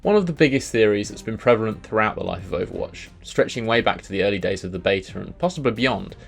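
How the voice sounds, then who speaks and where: Low-pitched man hosting a video. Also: lively intonation, fast talker, vocal fry, soft background music. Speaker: video host. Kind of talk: scripted video commentary on game lore.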